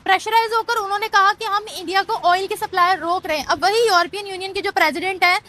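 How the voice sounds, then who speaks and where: A woman's voice: very high-pitched, steady tone, fast talker, pushed and bright, slight background noise. A woman with a Pakistani accent doing political street interviews, asking long, probing, leading questions. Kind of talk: vox pop political interview.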